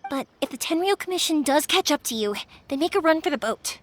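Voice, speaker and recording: Casting: spirited young woman, anime game heroine; very high, animated, bubbly, scatterbrained, caring. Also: a little hiss or hum. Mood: concerned. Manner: bubbly, impulsive, quick to reassure. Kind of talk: anime-style video game voice acting.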